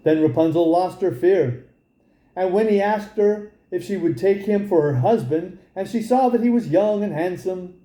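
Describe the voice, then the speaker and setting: A man narrating a fairy tale as a storybook reader, in an expressive style. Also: high voice, moderate pace, roomy sound, poor audio.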